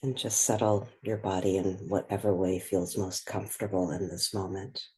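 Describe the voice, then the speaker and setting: A woman leading a guided meditation, speaking gently and without hurry, leaving long pauses between phrases. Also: a bass voice, level tone, poor audio. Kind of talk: guided meditation, slow and spoken softly.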